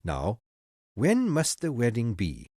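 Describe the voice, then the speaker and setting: A woman voicing a kindly older uncle in a read-aloud story, speaking in a low, gentle, questioning way. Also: huge pitch swings, slow, breathy edge, dry recording, clean studio sound, full character voice. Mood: fatherly.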